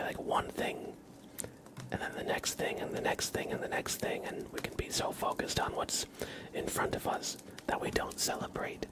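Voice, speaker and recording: A man hosting an ASMR livestream in a soft whisper, gently addressing listeners directly. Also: rough recording.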